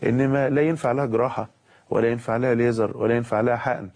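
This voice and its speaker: A man with an Egyptian accent, a pediatric surgeon with a cold, giving a calm medical explanation with pauses and fillers. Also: medium pitch. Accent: Egyptian Arabic. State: has a cold.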